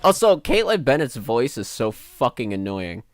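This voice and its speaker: A man's voice: mid-range voice, big pitch swings, normal speed, a crowd in the background. A man with a nasal voice giving blunt, sarcastic and profane live reaction commentary as a streamer.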